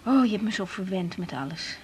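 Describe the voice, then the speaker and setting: An actress with a Netherlands Dutch accent playing a tender, shy young doctor, hesitant and soft, in a 1980s radio drama. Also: female voice, medium pitch, expressive, brisk, very noisy, lightly acted. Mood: tender, moved. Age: young adult. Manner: hesitant, soft, repeating words. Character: tired young woman doctor, tender, slightly shy dinner guest.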